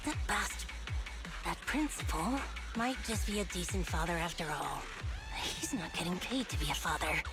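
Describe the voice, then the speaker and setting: A woman's voice: lowish voice, animated, normal speed, natural voice. An actress playing a feisty, cocky but caring young woman in a video game, brash and self-assured. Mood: gruff, irritated. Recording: loud game music behind the voice.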